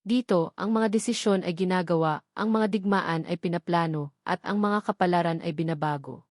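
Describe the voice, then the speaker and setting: A woman with a Filipino accent narrates a scripted romantic drama in a measured, dramatic storytelling style. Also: lowish voice, no echo, clean studio sound.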